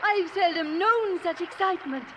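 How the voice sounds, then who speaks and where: A woman's voice: very high voice, moderate pace, bright, forceful tone. An actress playing a prim, pious and determined spinster lady in a classic radio drama, with formal, genteel diction. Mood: thrilled. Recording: old radio recording, faint hiss.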